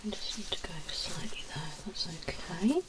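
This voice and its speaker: A woman speaking softly and gently as an examiner in an ASMR medical exam roleplay, often checking in. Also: mid-range voice, animated, normal speed.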